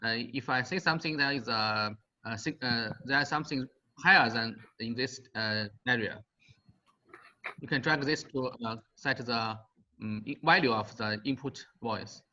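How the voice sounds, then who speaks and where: A man on a video call explaining a setup, halting with many fillers. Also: mid-range voice, pushed and bright. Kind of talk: online class discussion. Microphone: computer mic on video call. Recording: dull lo-fi call audio.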